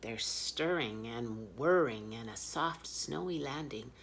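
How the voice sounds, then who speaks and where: A woman telling children's stories in an expressive read-aloud style. Her voice is low with a creaky edge, and she takes her time over the phrases. Faint noise sits behind her. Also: takes it slowly. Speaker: children's storyteller.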